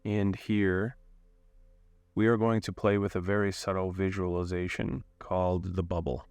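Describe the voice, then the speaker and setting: A man leading a guided meditation in a calm, unhurried way, giving gentle instructions with long pauses. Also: low-pitched, clear, resonant tone, no echo.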